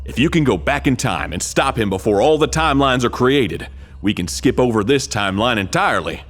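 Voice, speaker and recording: An actor playing an earnest, absurdly serious military major briefing an agent in a comedic video game. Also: male voice, mid-range voice, expressive, fairly quick, a bit bright. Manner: solemn, matter-of-fact about absurd things.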